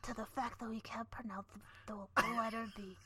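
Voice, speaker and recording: A woman's voice: fairly high pitch, fairly even intonation, fairly quick, very noisy, natural voice. Woman doing Kate, a nasal twin girl. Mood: goofy.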